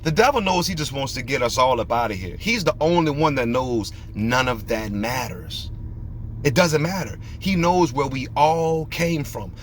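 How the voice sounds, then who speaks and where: A man's voice: mid-range voice, animated, moderate pace, slight background noise. Preachy, emphatic male podcast host. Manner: emphatic, preachy, fires rhetorical questions.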